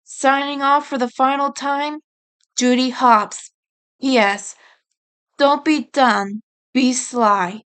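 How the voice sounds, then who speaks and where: A woman voicing a caring female rabbit's farewell letter in an audio story, earnest, gentle and apologetic. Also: high-pitched, steady tone, takes it slowly, clear, resonant tone. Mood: tender, earnest.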